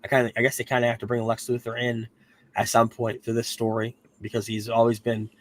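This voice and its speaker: Guy with a cold rambling thru a tv recap, um's everywhere, keeps correcting himself, mid pitch. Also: monotone, a little fast. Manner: rambling, self-correcting, frequent "um"s. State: getting over a cold, losing his voice. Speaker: podcast host reviewing a TV series. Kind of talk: casual recap chat.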